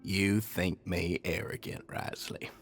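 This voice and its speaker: An actor playing an arrogant, cruel prison villain in a video game, theatrical and full of contempt. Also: male voice, sits low, fairly even intonation, a little slow. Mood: contemptuous. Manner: theatrical, contemptuous, swinging to shouts. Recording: game music behind the voice.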